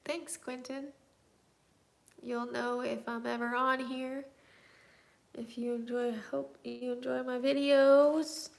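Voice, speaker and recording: Slow gravelly woman in her thirties. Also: sits high, little pitch movement. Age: 30s. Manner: easygoing, chatty, reads out and replies to comments. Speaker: livestream host. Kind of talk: casual live chat answering viewer comments.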